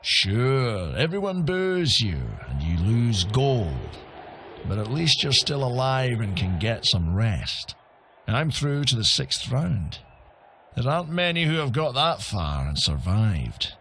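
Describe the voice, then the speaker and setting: An actor playing a wry, seasoned arena fighter in a video game, measured and knowing as he hands out advice. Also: mid-range voice, big pitch swings, a bit bright, slight background noise, heavily acted. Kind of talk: video game character acting.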